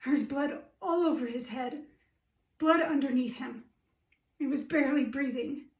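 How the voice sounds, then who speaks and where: A woman performing a theatre monologue as a shaken, earnest female police officer, plain-spoken and halting. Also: sits high, a little mellow. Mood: shaken, pleading. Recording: roomy echo, distant microphone.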